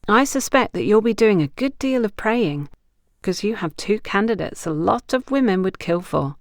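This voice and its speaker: A woman's voice: medium pitch, lively intonation, normal speed, no echo, clean studio sound, natural voice. Woman voicing a playful, knowing female confidante. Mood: teasing. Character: frank, teasing woman confidante giving romantic advice. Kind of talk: audiobook character dialogue.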